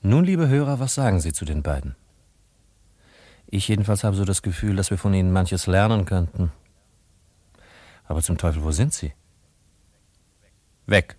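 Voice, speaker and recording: Deep-voiced, wry radio play narrator. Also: male voice, expressive, moderate pace, long pauses, warm tone, no room sound. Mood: conversational, wry. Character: wry, chatty radio play narrator addressing his listeners. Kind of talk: 1950s German radio drama narration.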